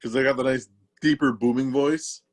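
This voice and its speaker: A man's voice: medium pitch, steady tone, moderate pace. A man playing a tabletop game over a video call, joking and chatting casually. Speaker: player.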